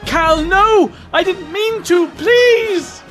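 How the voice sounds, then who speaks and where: A man's voice: extremely high pitch, extremely slow, music in the background, exaggerated voice. A man playing a terrified farm worker in an audio drama, pleading and crying out in panic. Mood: panicked.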